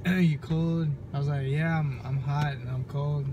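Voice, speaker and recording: A man's voice: fairly high pitch, steady tone, a little slow, background noise. A young man with a cold, coughing now and then, chatting casually and joking on a handheld phone vlog at home and in a car. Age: young adult. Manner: joking, laid-back, laughs often. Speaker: vlogger. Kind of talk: casual couple vlog chat. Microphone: handheld phone camera.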